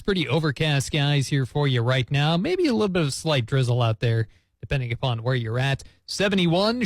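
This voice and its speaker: A man's voice: fairly high pitch, no echo. Dry, close-sounding male radio host. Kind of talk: conversational radio presenting and interviewing.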